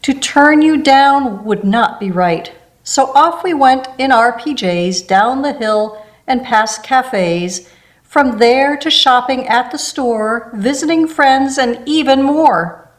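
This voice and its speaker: A woman reading a rhyming children's picture book aloud in a gentle storybook manner. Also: high-pitched, expressive, slow pace.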